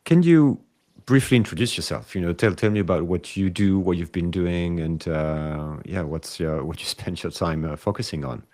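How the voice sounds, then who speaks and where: A man hosting a podcast, asking questions in a casual, unscripted way with hesitant, halting phrasing. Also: low voice, darkish tone. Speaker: podcast host, interviewer.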